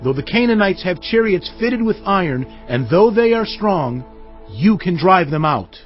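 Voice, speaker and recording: A man's voice: sits high, very animated, a little slow. A man playing a commanding Israelite leader with emphatic, oratorical delivery in a dramatized audio Bible. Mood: resolute, rousing. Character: Joshua, commanding male Israelite leader addressing his tribes. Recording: muffled audio with music behind.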